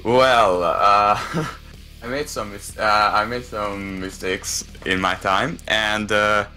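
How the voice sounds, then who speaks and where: Bright-voiced, lowish man. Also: steady tone, takes it slowly, faint music behind. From Hungary. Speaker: gamer and YouTuber guest. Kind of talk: casual interview chat.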